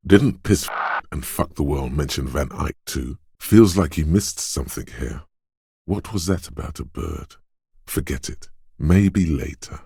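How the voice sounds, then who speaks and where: An actor playing a detective's quick-witted, suspicious inner voice of intuition in a video game, narrating in a measured, knowing, urging manner. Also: male voice, a little mellow, dry recording, clean studio sound, heavily acted. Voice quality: very deep, smooth, resonant. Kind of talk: scripted game narration, second-person inner voice.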